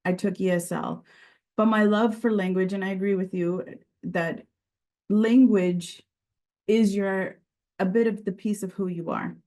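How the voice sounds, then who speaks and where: A woman whose first language is Sicilian talks in a conversational interview, at a middle pitch. She sounds earnest and reflective and often restarts her phrases. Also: fairly even intonation, unhurried, warm tone. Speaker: podcast guest, regulatory and quality director.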